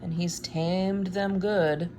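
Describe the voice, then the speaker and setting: A woman reading a picture book aloud in an expressive, unhurried way, with wry, casual asides. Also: on the low side, background noise.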